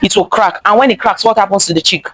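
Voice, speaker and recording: A woman's voice: mid-range voice, fast talker, a bit bright, breathy edge, dry recording. A woman reading a picture book aloud to children, expressively, repeating phrases and stopping to ask them questions. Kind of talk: interactive children's story time read-aloud.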